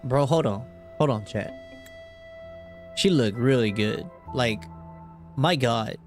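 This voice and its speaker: A man's voice: mid-range voice, slow, faint music behind. A man streaming a game, reacting live in a casual, rambling, slangy way.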